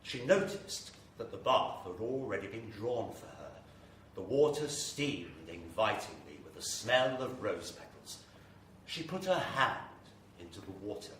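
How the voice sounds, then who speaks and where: An actor playing a suave horror storyteller, narrating in a measured, theatrical way that savours words. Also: male voice, on the low side, big pitch swings, a bit bright. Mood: ominous relish. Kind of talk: dramatic scripted horror narration. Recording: echoey, distant live stage, faint noise.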